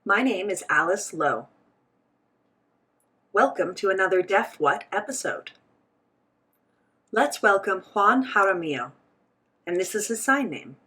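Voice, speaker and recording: Husky-voiced woman interpreting an interview. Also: mid-range voice, lively intonation, unhurried, long pauses, a bit bright. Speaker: voice-over interpreter for a signed interview. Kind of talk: voicing sign language interpretation for both host and guest.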